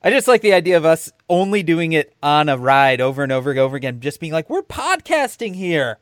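Lively-voiced man, dry close sound. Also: a little high, a little fast, dry recording. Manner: agreeable, enthusiastic, short replies. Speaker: podcast co-host. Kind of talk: casual chat.

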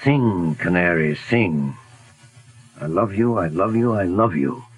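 An actor playing a calm, methodical detective in a 1940s radio drama, asking measured, probing questions. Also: male voice, sits low, rough recording. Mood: inquiring.

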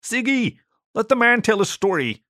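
A man voicing an impulsive young male alien who squeaks and rushes his words. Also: very animated, pushed and bright, no room sound, studio quality, exaggerated voice. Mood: excited. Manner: squeaky, high and eager.

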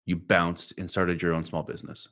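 A man's voice: sits low, level tone, moderate pace, fairly bright tone. A man hosting a casual interview, asking conversational questions and sharing encouraging thoughts. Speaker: podcast host.

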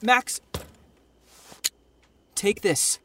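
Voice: very slow, pushed and bright, slight background noise. An actor playing a worried, protective young friend and investigating partner in a naturalistic audio drama. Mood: concerned.

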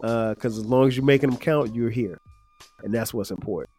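A man in his fifties hosting his own podcast, talking in a relaxed, rambling way with lots of fillers, his voice a little nasal and stuffed-up. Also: mid-range voice, a little fast, soft background music. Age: 50s. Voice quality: slightly nasal, stuffed-up from sinus trouble. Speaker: podcast host. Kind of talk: casual solo podcast reflection.

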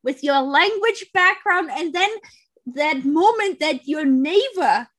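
A woman hosts an interview with a high voice and a slow pace. She sounds enthusiastic and fills her speech with "you know". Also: animated, fairly bright tone. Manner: enthusiastic, filler-heavy. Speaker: interviewer, host. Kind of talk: conversational interview.

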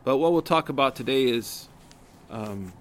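Deep-voiced man with a cold, teaching. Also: animated, normal speed, slight background noise. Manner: conversational, with many fillers and restarts. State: has a cold. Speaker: religious study circle teacher. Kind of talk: casual religious lecture. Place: community center.

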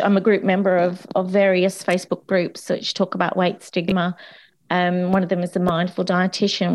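A woman speaking as a guest on a discussion panel, candid and chatty, with a voice still slightly croaky from a cold. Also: medium pitch, a little mellow. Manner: candid and chatty, with frequent restarts and laughs.

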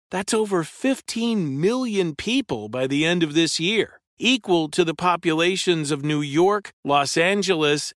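Dry, higher male ad voice. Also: fairly bright tone, dry recording. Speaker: ad reader. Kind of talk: commercial read.

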